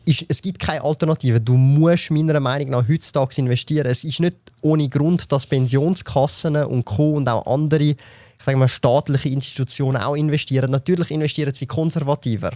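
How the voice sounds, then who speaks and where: A man's voice: medium pitch, fairly even intonation, a little fast, warm tone, dry recording. A man in his thirties with a Swiss German accent, explaining investing as a podcast guest, with lots of fillers and restarts. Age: 30s. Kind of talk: casual podcast chat.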